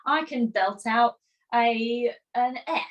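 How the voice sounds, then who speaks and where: A woman coaching musical theatre performers in a live online masterclass, energetic and encouraging, chatty with rhetorical questions. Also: a little high, takes it slowly, muffled recording. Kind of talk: live online masterclass, reading chat comments.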